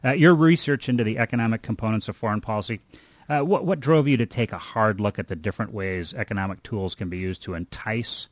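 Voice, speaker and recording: A man hosting an interview show, speaking in measured, formal phrases as he introduces topics and questions a guest. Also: fairly low pitch, dry recording. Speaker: radio show host and interviewer.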